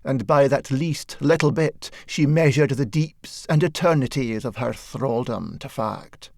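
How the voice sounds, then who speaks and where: A man narrating a satirical short story as an audiobook, with measured, wry storytelling. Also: medium pitch, gravelly, darkish tone, no echo, studio quality.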